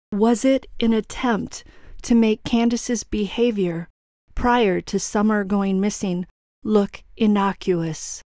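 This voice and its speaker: A woman narrates true-crime commentary from a script, with a pointed, questioning delivery. Her voice sits at a middle pitch, recorded dry with very little room sound. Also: darkish tone, no echo.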